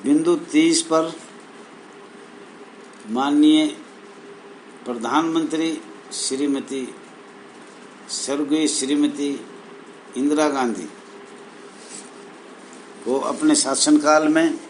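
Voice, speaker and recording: A man reading official documents aloud and explaining them in a halting, phrase-by-phrase way. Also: on the high side, fairly even intonation, low-quality recording. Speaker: presenter explaining rules to fellow members. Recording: noticeable background noise.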